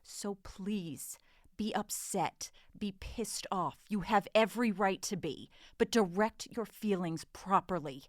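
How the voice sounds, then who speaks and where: A woman's voice: mid-range voice, slow, dry recording, clean studio sound, lightly acted. A woman playing a frank, assertive police detective from the mainland in an audio drama. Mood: firm, assertive. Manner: assertive, blunt.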